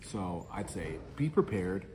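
A middle-aged man sits in a large indoor lounge and answers questions in a reflective, earnest way. His voice sits a little low. A faint room hiss runs under the recording. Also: sing-song, takes it slowly. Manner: earnest, reflective, gestures while talking. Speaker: interviewee. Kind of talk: casual interview answers.

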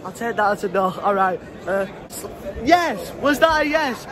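A young man, vlogging from a convention hall with a phone at arm's length, mock-polite and excitable. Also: high-pitched, normal speed, noisy background. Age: young adult. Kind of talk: comic vlog at a magic convention. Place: large indoor hall. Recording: loud crowd and music behind. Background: crowd chatter and music.

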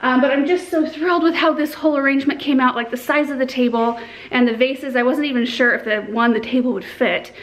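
A woman's voice: high voice, steady tone, brisk, poor audio. Chatty, upbeat woman in her thirties. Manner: chatty, enthusiastic, explaining as she works. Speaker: gardening vlogger. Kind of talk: casual chat to camera. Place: home kitchen and garden. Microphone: handheld camera at arm's length.

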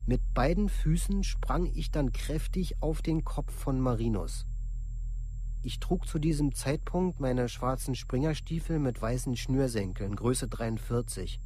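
A man's voice: mid-range voice, expressive, unhurried, dark tone, slight background noise, lightly acted. An actor playing a young male offender reciting a police confession in a formal, matter-of-fact way for a documentary radio drama. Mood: cold, detached.

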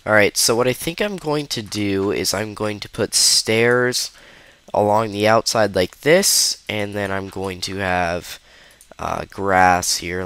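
This is a young man with a slightly blocked-up nose, narrating his gameplay in an easy, wandering way. His voice sits a little low and comes across smooth and clear. The recording is close and dry, with no room sound. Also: a bit bright, dry recording. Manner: loose, rambling, lots of ums and uhs. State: stuffy, under the weather. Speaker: gaming video host. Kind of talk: casual gameplay commentary.

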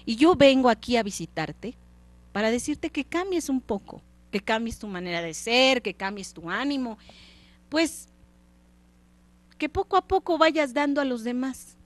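A woman's voice: on the high side, expressive, unhurried, dry recording. A woman voicing the ghost of a dead business partner, an earnest man who lectures in short, pleading phrases. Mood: pleading, warning.

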